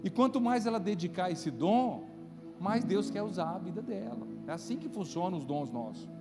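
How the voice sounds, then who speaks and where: A man's voice: high voice, lively intonation, normal speed. A man with a Brazilian accent preaching to a congregation as a pastor, in a conversational way that checks in with listeners through rhetorical questions. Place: church, live-streamed service. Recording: reverberant hall, loud music underneath. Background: loud music.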